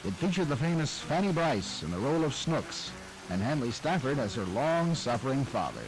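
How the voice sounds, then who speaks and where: A man giving measured, informative scripted narration that introduces an old radio show. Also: mid-range voice, very animated, music in the background, poor audio.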